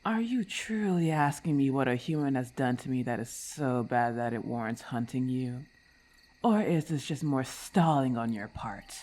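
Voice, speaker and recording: Woman acting as a predatory snake-woman, very deep female voice, husky and breathy, haughty, condescending, ASMR roleplay. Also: darkish tone. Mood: scornful, predatory. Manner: slow, formal, condescending diction. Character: menacing, haughty female lamia hunting human prey.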